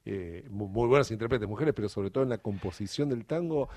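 A man's voice: lowish voice, lively intonation, a little fast, darkish tone, no echo, studio quality. A man with an Argentine accent, commenting as a co-interviewer in hesitant, piecemeal phrases. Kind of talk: conversational commentary.

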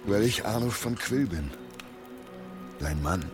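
A devoted medieval warrior in a radio play, recalling the past softly and wistfully. His voice is on the low side. Music plays clearly behind him. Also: animated, slow. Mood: earnest. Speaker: actor in an audio drama. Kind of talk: German radio drama acting.

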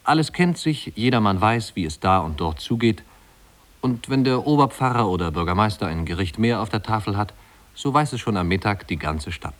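A man's voice: fairly low pitch, expressive, normal speed, natural voice. A man narrating a 1950s German radio drama as a wry storyteller recalling his small-town youth in a leisurely, conversational way. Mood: wry, reminiscing.

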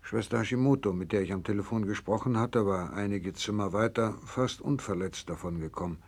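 An actor playing a grave, resolute doctor who survived a disaster, in a 1960s German radio play, solemn when narrating and commanding when giving orders. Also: male voice, lowish voice, husky. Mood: grave, stunned. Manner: solemn measured narrating, urgent commanding in dialogue. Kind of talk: 1960s German radio drama acting, first-person narration.

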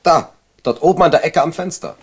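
A man's voice: a little high, fairly quick, husky. An actor playing an irritable, paranoid man with haunted memories, agitated and insistent, in a radio drama. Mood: irritated, insistent. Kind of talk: German radio drama acting.

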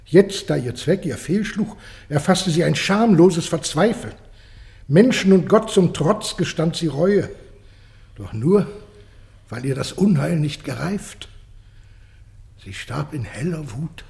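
An actor playing a grave court messenger who declaims terrible tidings dramatically and deliberately in a classical radio play. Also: male voice, fairly high pitch, lively intonation, vocal fry, darkish tone, exaggerated voice. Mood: grave, horrified.

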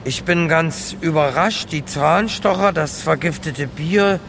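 An actor playing a naive, hesitant homeless man who talks haltingly and earnestly in a radio drama. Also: fairly bright tone. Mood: hesitant, grateful. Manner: halting, stammering, unsure. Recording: outdoor traffic rumble and street noise.

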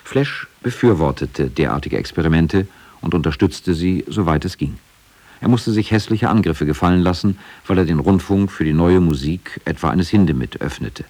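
A man's voice: low voice, animated, moderate pace, darkish tone. A man reading scripted narration for a radio documentary.

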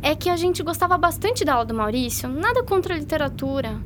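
A woman's voice: sits high, very animated, quick pace, noisy background. A woman playing a curious, outspoken teenage schoolgirl in a Brazilian radio drama, questioning in an informal way. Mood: hesitant, challenging.

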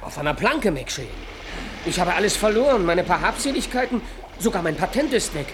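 An actor playing a destitute but eager young adventurer in a radio play. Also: male voice, sits high, very animated, brisk. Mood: eager, plaintive. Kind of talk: German radio play acting. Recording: noisy radio drama sound scene.

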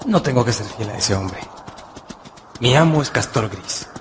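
A man's voice: mid-range voice, animated, measured pace, noisy background, natural voice. Man voicing a terse, resentful wolf dog. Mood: defiant. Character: proud, resentful wolf dog thinking aloud. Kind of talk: radio drama acting.

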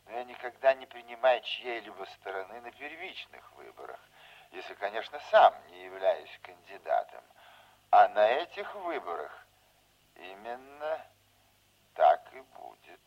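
An older man acting in a radio play as a folksy, scheming veteran politician, unhurried, fatherly and insinuating. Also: on the low side, big pitch swings, lo-fi sound, heavily acted. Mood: smooth, paternal.

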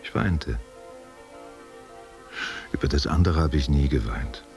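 A man's voice: a bass voice, takes it slowly, darkish tone, soft background music, exaggerated voice. An actor playing an aging, wistful stage actor who tenderly courts a younger woman, musing and questioning in an intimate radio play. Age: older.

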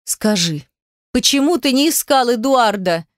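A woman's voice: a little high, expressive, a little slow, no echo, studio quality, voice pushed up. A woman voicing an embittered, hysterical young mother in an audiobook drama, with breathless, tearful outbursts. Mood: hysterical, accusing.

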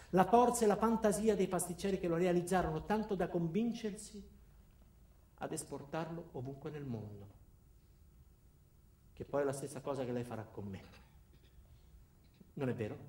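A man acting a dark-comic monologue as a coldly cruel company man, speaking in a deliberate deadpan. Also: a little high. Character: ordinary company man turned coldly cruel, addressing his boss. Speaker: actor performing a solo monologue. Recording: roomy, echoing sound.

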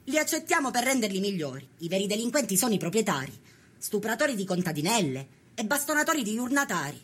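A fiery peasant woman in a historical documentary drama. Her husky voice races along with big swings of pitch. She is vehement throughout. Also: sing-song, quick pace, fairly bright tone. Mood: defiant, accusing. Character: fiery Sicilian peasant woman activist of 1893.

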